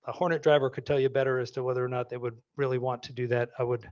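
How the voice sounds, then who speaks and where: A man's voice: mid-range voice, flat delivery, racing, darkish tone, no echo, dull audio. A man, answering audience questions in a conversational way full of restarts. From Maine, US. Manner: conversational, many restarts and fillers. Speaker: guest speaker.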